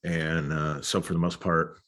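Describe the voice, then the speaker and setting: Deep-voiced congested man on a live stream. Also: flat delivery, fairly bright tone. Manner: halting, many fillers and throat clearing. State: congested, sniffly. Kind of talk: casual live stream talk. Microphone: computer or phone mic.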